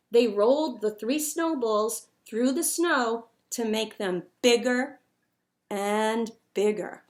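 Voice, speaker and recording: A woman reading a picture book aloud to children in an expressive, dramatic storyteller's manner. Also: fairly high pitch.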